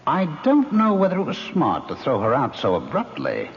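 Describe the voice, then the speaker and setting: An actor playing a smug, manipulative ghost in a radio drama, with a theatrical, mocking and insinuating delivery. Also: male voice, mid-range voice, wildly swooping pitch, moderate pace. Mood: goading. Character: smug, taunting male ghost, manipulative and urbane. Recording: muffled old radio broadcast, faint noise.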